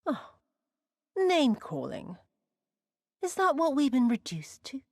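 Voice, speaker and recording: An actress playing a cruel, vengeful woman in a video game, mocking and imperious, with a theatrical, drawn-out delivery that sinks into breathy whispers. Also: high-pitched, huge pitch swings, no echo, studio quality, full character voice. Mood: mock offended. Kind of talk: dramatic video game cutscene acting.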